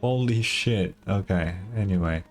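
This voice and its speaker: A man's voice: low-pitched, unhurried, faint music behind. A man giving casual reaction commentary as a gaming streamer, informal, wry and sweary.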